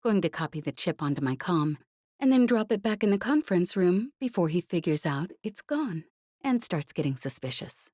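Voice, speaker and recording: A woman's voice: on the low side, very animated, moderate pace, darkish tone, dry recording, clean studio sound. A woman voicing a brash, cocky young female smuggler pilot in an audiobook, with sassy, clipped, colloquial talk. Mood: cocky, breezy. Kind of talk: audiobook character voice.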